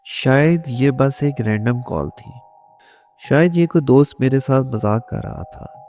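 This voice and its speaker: Male horror storyteller, dark mellow tone, unhurried suspenseful delivery, scripted first-person narration, faint music behind. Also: mid-range voice. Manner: slow, suspenseful, dramatic storytelling.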